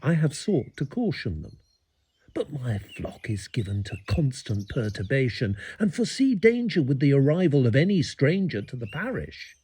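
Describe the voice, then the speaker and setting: A fretful Georgian village parson from England in a period audio drama. His voice is mellow and husky. He speaks formally, in old-fashioned phrases, with lively rises and falls as he reads his letter. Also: medium pitch, normal speed. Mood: fretful, earnest. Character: fretful eighteenth-century English village parson writing letters.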